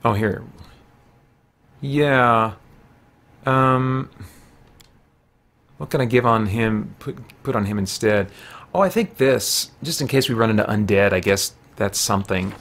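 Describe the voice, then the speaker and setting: A man in his forties giving casual livestream game commentary, halting as he thinks aloud. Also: lowish voice, fairly bright tone. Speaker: video game streamer and podcast host. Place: small room at home, indoors.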